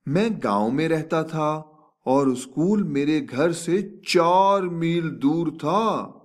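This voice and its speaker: Animated male storyteller with a lively, higher-pitched voice. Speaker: storyteller and narrator. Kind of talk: expressive storytelling narration of a children's story.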